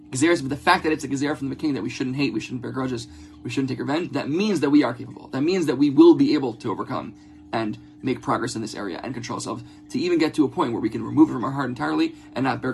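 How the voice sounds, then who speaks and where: Fast-talking man giving an informal religious lesson. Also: mid-range voice, gravelly, slight background noise. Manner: earnest, conversational, repeats phrases and checks in with "right?". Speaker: religious teacher.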